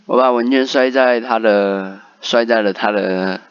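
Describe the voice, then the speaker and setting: A man's voice: on the low side, a little slow, smooth, dull audio. A man doing casual game commentary and reading story text aloud. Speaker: game streamer doing a playthrough.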